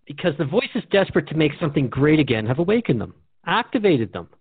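A man's voice: fairly high pitch, big pitch swings, fast, breathy edge, no echo. A man speaking as a radio host in a conversational drama dialogue, reflective and earnest. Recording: dry, narrow phone-like sound.

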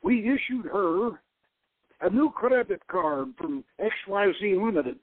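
Man reading as authoritative intelligence chief, measured, calculating, higher register, phone-like sound. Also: lively intonation, husky, dry recording, full character voice. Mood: persuasive. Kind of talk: dramatic novel reading.

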